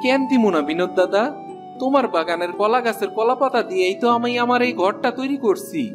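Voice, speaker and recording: A man's voice: high voice, brisk, over music, lightly acted. A man with a rural Bangladeshi accent playing a humble, forgiving poor village husband in a cartoon, plain-spoken and deferential. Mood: obliging. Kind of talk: cartoon dialogue acting.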